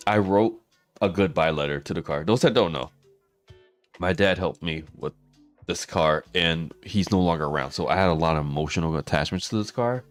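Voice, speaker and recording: Hoarse low-voiced man chatting with viewers. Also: moderate pace, long pauses, dry recording, soft background music. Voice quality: raspy. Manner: chatty, talks to viewers by name, laughs often. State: hoarse, losing his voice. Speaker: streamer. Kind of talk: casual livestream chat.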